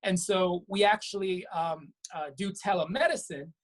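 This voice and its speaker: A woman doctor from Brooklyn talks through her answers in a slightly low, husky voice. She explains earnestly and often restarts her sentences as she goes. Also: normal speed. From Brooklyn, New York. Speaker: physician guest. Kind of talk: conversational interview answers. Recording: web talk show.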